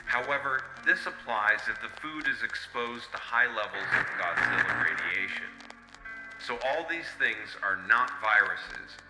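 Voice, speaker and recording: A man's voice: fairly low pitch, lively intonation, measured pace. A man playing a sober researcher who gives a formal, explanatory report for an analog horror broadcast, in an echoey recording. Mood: sober, ominous. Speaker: researcher announcer. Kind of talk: scripted informational broadcast narration. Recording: echoey hall-like reverb. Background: music.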